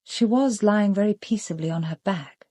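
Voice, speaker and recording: An actress with a British accent playing a guarded young woman in a radio drama, measured, precise and matter-of-fact. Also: mid-range voice, mellow, no room sound, studio quality, natural voice. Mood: composed, guarded.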